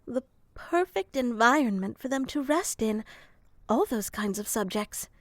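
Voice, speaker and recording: Woman doing a soft sleepy moth girl, kinda ditzy, talks really slow, dry close mic. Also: fairly high pitch, expressive, no room sound. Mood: soft, musing. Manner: soft-spoken, slow and musing, slipping into whispers. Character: gentle, slightly scatterbrained female moth creature soothing a human to sleep. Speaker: ASMR performer. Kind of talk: ASMR sleep roleplay.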